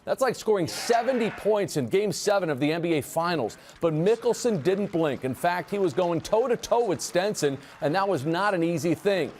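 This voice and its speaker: An American man with a fairly high voice anchors a television sportscast. He narrates highlights from a script in short, punchy phrases. His delivery is playful and built for broadcast. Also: darkish tone, a little hiss or hum.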